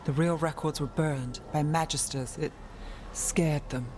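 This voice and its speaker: An actress playing a guarded, world-weary detective in a fantasy video game, speaking in a wry, clipped, restrained way. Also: female voice, deep voice, steady tone, unhurried, darkish tone, slight background noise. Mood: wry, measured. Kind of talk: video game cutscene acting.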